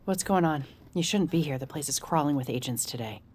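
A blunt, protective American woman who works in law enforcement. Her voice is very low for a woman, urgent and scolding. It is naturalistic audio drama with light ambience behind her. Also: expressive, brisk, darkish tone, a little hiss or hum. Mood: alarmed. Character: exasperated, protective, no-nonsense sister working in law enforcement.

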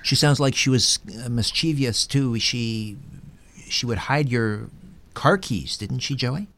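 A man with a medium-pitched voice hosts a podcast interview. He talks conversationally, summing up details and nudging his guests with brief questions. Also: expressive, slow, a bit bright. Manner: prompting with recaps and tag questions.

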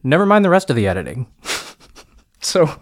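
A man's voice: fairly high pitch, very animated, brisk. A man, an audio editor on a podcast, explaining his editing process in casual, self-deprecating chat.

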